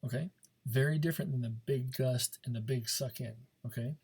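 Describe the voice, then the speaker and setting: Male speech teacher, soft-edged dark tone with some rasp, informal coaching delivery for a classroom lesson. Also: medium pitch, normal speed. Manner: informal, coaching, checks understanding with frequent "okay" and "right".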